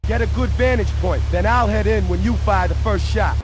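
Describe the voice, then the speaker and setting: Guy doing a chummy american street hustler who blows stuff up, high voice, game cutscene, some noise behind. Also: moderate pace, lightly acted. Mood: confident. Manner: slangy, easygoing hustler. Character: friendly streetwise underworld explosives expert. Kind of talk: video game cutscene acting.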